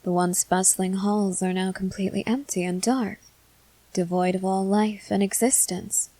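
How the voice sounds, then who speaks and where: Lady acting as a snooty composed schoolgirl, asmr roleplay, talks all formal and measured, super dry sound. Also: medium pitch, level tone, mellow, no room sound, close to an everyday voice. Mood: disdainful, musing. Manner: formal, articulate, measured speech with deliberate pauses. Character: composed, haughty junior high schoolgirl, amused and sardonic. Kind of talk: scripted ASMR roleplay monologue.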